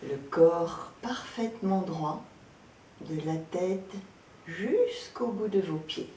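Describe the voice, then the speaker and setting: Slow, low-voiced woman guiding relaxation. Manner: calm, gentle, soothing, with frequent pauses. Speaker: yoga nidra instructor. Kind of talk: guided relaxation meditation, spoken instructions. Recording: roomy echo, distant mic, background noise, rumble.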